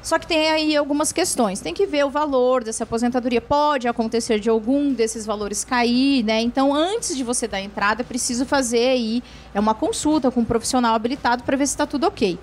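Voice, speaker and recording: A woman's voice: fairly high pitch, a little fast, few pauses, a little hiss or hum. A woman lawyer answering viewer questions on a TV show, explaining patiently with frequent tag questions and restarts. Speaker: lawyer, guest expert.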